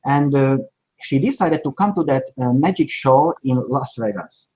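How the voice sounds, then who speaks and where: Male guest, 40s, halting English, dull lo-fi line. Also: medium pitch, dark tone. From Slovakia. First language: Hungarian. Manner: halting, plain English with small grammar slips. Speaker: guest, sourcing expert.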